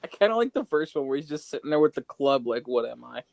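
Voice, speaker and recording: A man's voice: mid-range voice, sing-song, fast talker, vocal fry, a little mellow. A man co-hosting a casual podcast, bantering in a teasing, sarcastic way. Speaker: podcast co-host.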